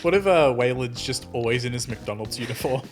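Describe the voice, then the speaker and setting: A man talks casually as he improvises around a tabletop game. His pitch is average for a man, and music plays underneath. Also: fairly quick.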